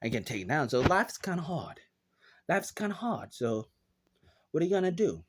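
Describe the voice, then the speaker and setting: A man's voice: a little high, very animated, moderate pace, breathy edge. A man in his thirties with an American accent talks alone in a vlog. His delivery is subdued and rambling, circling back over the same phrases. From United States. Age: 30s. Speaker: vlogger. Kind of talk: rambling solo commentary.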